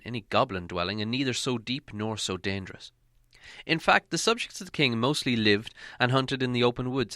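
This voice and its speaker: A man narrating a fantasy storybook as an audiobook reader, with measured, dramatic delivery. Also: mid-range voice, sharp tone, no echo, clean studio sound.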